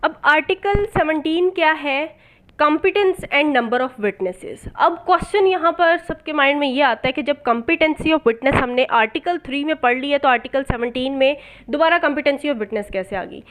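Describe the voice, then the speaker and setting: Woman, Pakistani accent, very high voice, didactic law lecturer, explanatory, mixes in English terms, checks understanding. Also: measured pace, a bit bright, poor audio.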